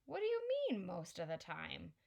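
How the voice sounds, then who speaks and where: A woman reading aloud and voicing a shy, curious boy with an eager, high, questioning voice. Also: huge pitch swings, moderate pace, gravelly, a little mellow, heavily acted. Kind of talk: audiobook character reading.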